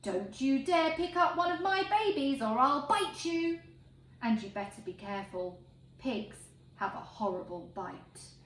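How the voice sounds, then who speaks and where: A woman's voice: sits high, sing-song, a little slow, fairly bright tone, full character voice. A woman voicing a bad-tempered, hungry storybook pig who scolds and demands. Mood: cross, threatening. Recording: roomy echo, faint background noise.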